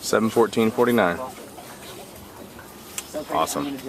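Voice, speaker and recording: A man hosting a casual vlog outdoors on a mountain walkway, upbeat and quick to say how great things are. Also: mid-range voice, gravelly, crowd noise. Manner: upbeat, says "awesome" often. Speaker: vlog host interviewing conference attendees. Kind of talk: casual on-the-go interviewing.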